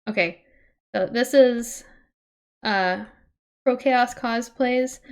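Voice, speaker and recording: A woman hosting a livestream, chatting casually in an expressive, exclamatory way. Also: fairly high pitch, drawn-out words, smooth. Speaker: livestream host.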